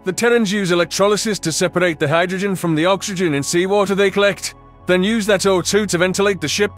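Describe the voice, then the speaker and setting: A man's voice: high-pitched, steady tone, fast talker, faint music behind, pitched above the performer's own voice, natural voice. A man voicing an eager, bookish alien creature with a curious temper in a sci-fi audio drama. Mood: eager, fascinated. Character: eager, curious male primitive alien creature who loves machines. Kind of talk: audio drama character dialogue.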